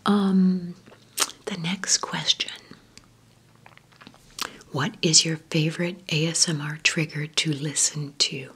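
A woman whispering gently through an ASMR question-and-answer video, talking fondly and slowly with soft fillers. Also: sits low, fairly even intonation, husky. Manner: slow, gentle, affectionate rambling with fillers. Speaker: ASMR creator.

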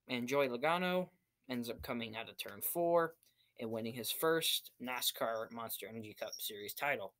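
A man's voice: medium pitch, animated, moderate pace, a bit bright. Young man giving a choppy casual recap. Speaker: amateur race reviewer. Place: small cluttered room indoors.

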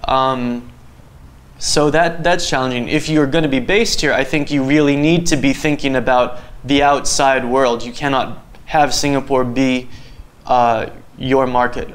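A man's voice: mid-range voice, steady tone, unhurried. A young man talking in a documentary interview in a small studio. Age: young adult.

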